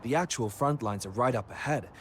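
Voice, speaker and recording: An actor playing a guarded, stern frontline soldier in a video game, speaking firmly and matter-of-factly. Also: male voice, medium pitch, brisk, slight background noise, natural voice.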